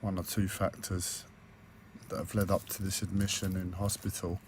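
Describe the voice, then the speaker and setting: Low flat-voiced croaky man. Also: moderate pace, background noise. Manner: hesitant, many fillers and restarts. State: croaky, hoarse voice. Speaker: vlogger. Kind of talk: personal video diary. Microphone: tablet.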